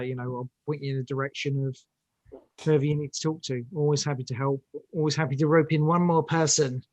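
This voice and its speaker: A man's voice: a little high, dry recording, rough recording. A man talking informally and encouragingly as a guest in a casual conversation. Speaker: interview guest, audio drama director. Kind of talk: casual chat, inviting newcomers.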